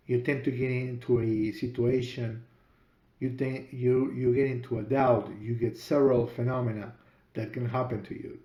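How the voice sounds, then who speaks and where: A middle-aged man from Peru, a native Spanish speaker with a Spanish accent, hosting his own podcast and telling his story in a measured, deliberate way. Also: mid-range voice, warm tone, reverberant, lo-fi sound. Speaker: podcast host and author. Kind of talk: storytelling monologue.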